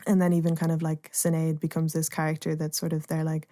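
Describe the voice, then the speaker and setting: A woman's voice: sits low, monotone, fast, dark tone, dry recording, studio quality. A woman speaking thoughtfully and tentatively as an artist explaining their work in a reflective interview. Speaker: artist and playwright being interviewed.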